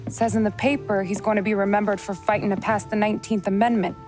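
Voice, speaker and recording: A woman's voice: medium pitch, level tone, fast talker, close to an everyday voice. Plainspoken American woman played by an actress, music behind. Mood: teasing, earnest. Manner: frank, colloquial, chiding. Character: plainspoken, caring, sharp-tongued gangster's confidante woman. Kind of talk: video game cutscene acting.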